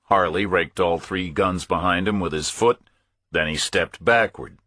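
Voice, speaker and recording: A man reading scripted first-person thriller narration in a measured, hard-boiled way. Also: low-pitched, sharp tone, breathy edge, no room sound, clean studio sound. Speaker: audiobook narrator.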